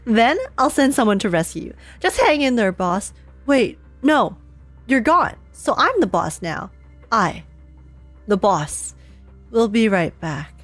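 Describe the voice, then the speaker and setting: Woman putting on a high-pitched, cutesy, self-important young underling. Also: sing-song, takes it slowly, no room sound, soft background music, heavily acted. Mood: smug, dramatic.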